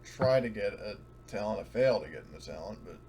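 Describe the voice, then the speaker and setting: A man's voice: on the low side, lively intonation, fast talker, creaky. A man chatting casually as a player in a tabletop game. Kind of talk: casual chat. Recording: noticeable background noise, typing sounds.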